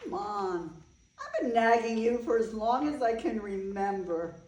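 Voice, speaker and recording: Older bride, female stage reader: eccentric, chatty, earnest storyteller with a raised pitch, heard in an echoey room. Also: big pitch swings, measured pace, darkish tone, a little hiss or hum. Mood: fondly exasperated. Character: Eve, eccentric older bride, affectionate, chatty animal lover. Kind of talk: staged reading of a play. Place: indoor room, staged reading.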